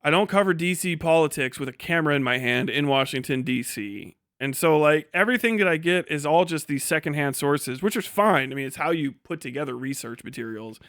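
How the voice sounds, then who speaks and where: A man's voice: on the high side, expressive, fairly quick, hardly any pauses, a bit bright, no room sound, clean studio sound. A tired man casually chatting and ranting on a livestream as its host, rambling and bluntly swearing. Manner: rambling, blunt, heavily profane. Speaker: livestream host and video creator.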